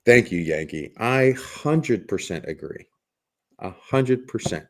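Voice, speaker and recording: A man with a raspy smoker's voice hosting a casual livestream, joking with viewers and rambling through restarts with bursts of laughter. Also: on the low side, lively intonation, slow pace. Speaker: livestream host.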